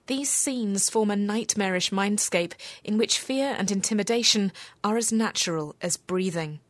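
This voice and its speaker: A woman reporter reading a scripted radio news feature in a measured, even way. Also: mid-range voice, no echo.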